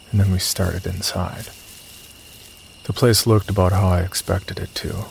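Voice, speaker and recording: A man with a low, creaky voice narrates a scripted horror story in the first person. His storytelling is measured, with clear night insect noise behind him. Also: darkish tone.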